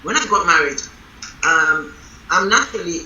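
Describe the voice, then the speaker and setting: A woman reverend leads a panel talk with a loud, strong voice and a bright edge. She tells her stories emphatically. The mic sits far from her in a roomy hall with faint background noise. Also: fairly low pitch, expressive, moderate pace, lo-fi sound. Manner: emphatic, storytelling. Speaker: reverend leading a panel on marriage. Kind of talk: panel discussion.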